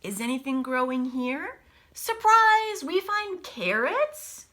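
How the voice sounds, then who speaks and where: A woman teacher reading a picture book aloud to children, expressively, with casual, hushed explanatory asides. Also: high-pitched, takes it slowly. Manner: animated storybook delivery, dropping to hushed asides.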